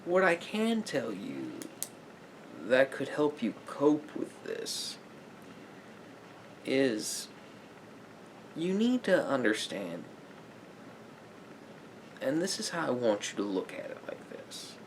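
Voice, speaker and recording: A man speaking softly as a therapist in an ASMR roleplay, in a measured, halting, explanatory way. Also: mid-range voice, wildly swooping pitch, a little hiss or hum.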